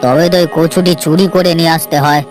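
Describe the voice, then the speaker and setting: A man voicing a jealous, scheming middle-aged village herbalist in a cartoon melodrama, with theatrical, drawn-out delivery. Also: a little high, steady tone, lightly acted. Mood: scheming, gloating. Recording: voice over prominent background music.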